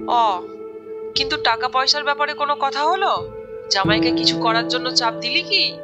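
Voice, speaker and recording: A woman's voice: high-pitched, moderate pace, loud music behind the voice, close to an everyday voice. A woman playing a fretful, meddling middle-aged mother in a cartoon story, probing and nagging as she talks. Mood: probing, disapproving. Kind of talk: Bengali cartoon story acting.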